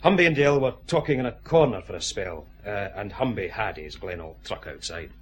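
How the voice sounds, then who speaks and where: A man playing the lowish, understated second-in-command in a radio detective serial, dryly reporting in across a squad-room desk. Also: expressive, fairly quick, noisy background, close to an everyday voice. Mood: dry, wry. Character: dry, wry, dutiful male detective inspector. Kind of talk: radio detective drama acting.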